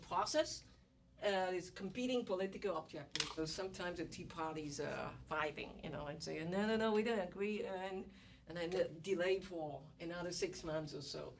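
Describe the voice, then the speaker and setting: A woman's voice: lowish voice, normal speed. Chatty woman lecturing in a classroom. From Malaysia or Singapore area. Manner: chatty, acts out little scenes with exclamations. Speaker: economics lecturer. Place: university classroom. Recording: distant classroom recording with faint noise.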